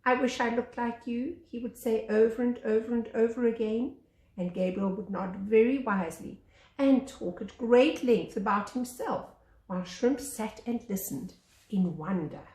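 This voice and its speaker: A woman reading a children's story aloud as a teacher, with expressive narration. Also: on the high side, normal speed. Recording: echoey room.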